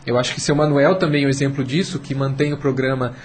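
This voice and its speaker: A man's voice: medium pitch, fairly even intonation, fast. A man with a Brazilian accent speaking as a radio panel guest, explaining and urging emphatically, repeating phrases to build his point. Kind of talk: persuasive, explanatory discussion. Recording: clear background noise.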